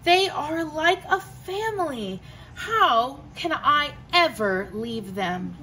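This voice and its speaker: A woman voicing a gentle, polite male hermit crab in a storybook, soft and earnest. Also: sits high, animated, takes it slowly, a little hiss or hum, full character voice. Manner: soft, earnest, asking kindly.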